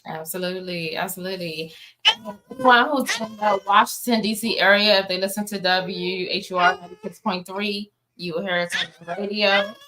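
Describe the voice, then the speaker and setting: A woman's voice: mid-range voice, steady tone, moderate pace, noisy background. An American woman talking casually as an interview guest, informal and emphatic, repeating words for emphasis. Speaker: interview guest, playwright and producer.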